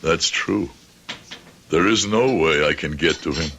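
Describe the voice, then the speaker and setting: Radio drama, vengeful shoemaker: deep, dignified, deliberate, over a noisy old recording. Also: male voice, vocal fry, a bit bright, lo-fi sound, exaggerated voice. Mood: guarded, formal. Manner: formal, deliberate, rhetorical questioning. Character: grieving, vengeful shoemaker, dignified and coldly determined man.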